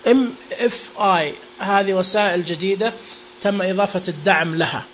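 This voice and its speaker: A young man talks through phone settings in a quick, chatty way, joking as he goes. His voice sits high and his pace runs fast. A faint noise floor hums under the recording. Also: fairly bright tone, low-quality recording. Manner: chatty and joking, repeats what the screen reader says. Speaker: tech reviewer demonstrating phone accessibility settings. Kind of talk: casual spoken walkthrough.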